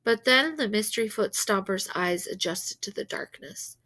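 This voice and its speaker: A woman reading a children's novel aloud as an expressive storyteller who acts out the dialogue. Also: medium pitch, normal speed. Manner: animated, acts out characters' dialogue.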